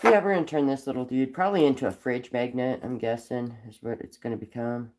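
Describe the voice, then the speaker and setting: A man with a cold and a raspy voice, chatting casually on a live stream while whittling wood, rambling with frequent ums. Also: mid-range voice, fairly even intonation, fast, a little mellow. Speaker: livestream host whittling wood.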